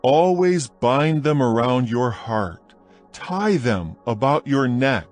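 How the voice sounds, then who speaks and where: Slow solemn male scripture reader. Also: mid-range voice, faint music behind. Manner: slow, measured, solemn recitation with lively rises on appeals. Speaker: Bible audio narrator. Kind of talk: dramatized scripture reading.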